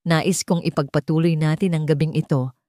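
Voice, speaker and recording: A woman voicing a composed, earnest wealthy man giving a calm, controlled formal speech in an audio drama. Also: low-pitched, moderate pace, dry recording, clean studio sound, lightly acted. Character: composed, earnest wealthy husband addressing gala guests.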